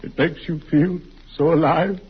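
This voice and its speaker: An actor playing a gloomy, genteel undertaker with formal, savoring speech and a sepulchral tone, in 1940s radio comedy style. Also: male voice, on the high side, steady tone, natural voice. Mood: solemn delight. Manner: slow, formal, unctuous, savoring words. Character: gloomy genteel undertaker, morbidly cheerful, drawn-out funereal delivery. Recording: noisy muffled old-time radio recording.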